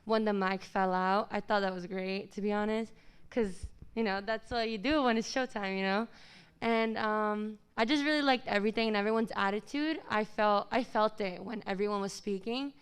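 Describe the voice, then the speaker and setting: A woman with a very clean, smooth voice of medium pitch shares feedback as an audience member. She speaks casually and sincerely, in simple appreciative phrases. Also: steady tone, normal speed.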